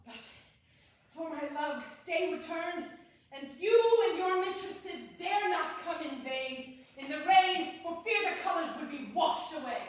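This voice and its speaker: A witty, passionate young nobleman of the Shakespearean stage, played by a woman argues for love in high, rhetorical verse. The delivery is unhurried, with dramatic pauses. It is heard from a distance in a strongly reverberant room. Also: expressive. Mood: boastful, teasing. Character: witty, passionate young lord, eloquent lovesick male scholar. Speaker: amateur stage actor in a Shakespeare reading. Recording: distant, echoey hall with faint noise.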